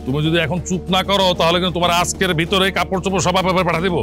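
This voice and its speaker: Guy acting strict bangladeshi village uncle, talks fast and kinda high, scolding and threatening, tv drama. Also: little pitch movement, music in the background, natural voice. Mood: angry, scolding. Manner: accusing, interrogating, threatening. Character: stern, scolding elder uncle in a village family. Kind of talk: television family drama acting.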